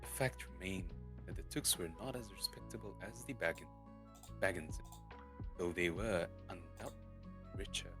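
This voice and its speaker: Low-voiced man reading haltingly over music. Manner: halting, stumbles and repeats words. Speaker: streamer reading a novel aloud. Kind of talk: dramatic storybook reading, unrehearsed. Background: soft lofi guitar music.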